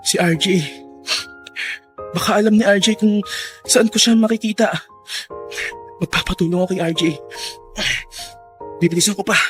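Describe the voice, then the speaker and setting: A man's voice: sits high, fairly even intonation, normal speed, long pauses, faint music behind, lightly acted. A man playing a distraught, remorseful young son in a Filipino radio drama, hesitant and emotional. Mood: urgent remorse. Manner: tearful, hesitant. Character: distraught young son, remorseful toward his true father.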